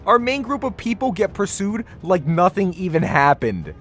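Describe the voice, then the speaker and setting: Animated, sarcastic male video reviewer. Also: sits high, normal speed. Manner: informal, sarcastic, opinionated, swears casually. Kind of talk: semi-scripted video essay commentary, casual. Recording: music behind the voice. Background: background music.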